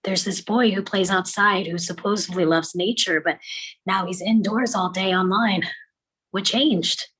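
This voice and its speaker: A woman playing a hurt mother in a drama table read, pressing insistently for answers in a breathy voice. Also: mid-range voice, steady tone, a little slow, a bit bright, poor audio.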